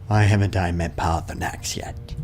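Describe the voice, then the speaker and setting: A man's voice: low-pitched, fairly even intonation, moderate pace, a little mellow, faint music behind. A man giving casual, playful and sarcastic commentary while playing a video game. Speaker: gaming video host.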